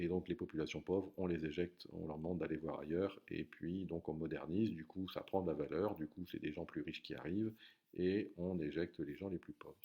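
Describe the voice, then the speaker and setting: A man's voice: sits low, darkish tone. A man teaching a recorded geography lesson, hesitant, with many fillers and restarts.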